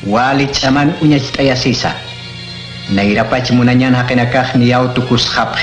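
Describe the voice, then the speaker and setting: Hombre de voz media, lectura pausada. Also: male voice, medium pitch, steady tone, roomy sound, soft background music.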